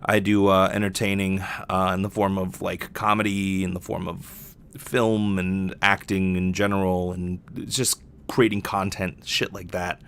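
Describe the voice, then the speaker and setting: A man's voice: low-pitched, flat delivery, unhurried, gravelly, a bit bright, clear, resonant tone. A young adult man talking casually and candidly as a solo podcast host, rambling and swearing freely.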